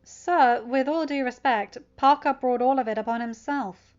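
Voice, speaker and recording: Storybook role: posturing teenage male bully, self-justifying, raised voice pitch, woman performing. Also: normal speed, dull audio, lightly acted. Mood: defensive. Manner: posturing, defensive.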